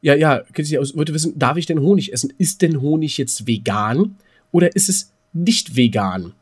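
A man's voice: fairly high pitch, animated, moderate pace, breathy edge. A man with a German accent co-hosting a casual podcast, explaining things and putting questions to his partner and the listeners. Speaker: podcast co-host.

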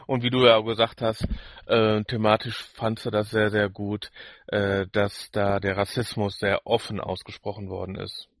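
A man co-hosting a podcast in casual review chat, halting as he searches for words. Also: on the low side, flat delivery, no room sound. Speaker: podcast co-host.

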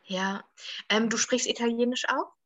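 Bright upbeat woman podcast host. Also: on the high side, fairly even intonation, no room sound. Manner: upbeat, curious, quick questions. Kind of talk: casual podcast interview.

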